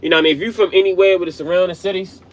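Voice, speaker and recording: A man's voice: high-pitched, fairly even intonation, racing, rough recording. Slangy young Baltimore man rambling. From Baltimore, USA. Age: young adult. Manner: loose slangy rambling, frequent "you know what I mean"; profane, emphatic. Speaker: channel host. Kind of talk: casual commentary monologue.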